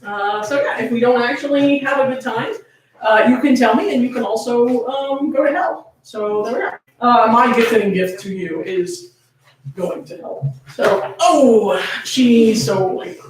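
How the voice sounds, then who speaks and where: A woman's voice: a little high, a little slow. A woman hosting a fan fiction reading, playful and sweary, moving between casual teasing banter and dramatic read-aloud. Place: indoors, echoey room, camera on tripod. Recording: very echoey room, distant from mic.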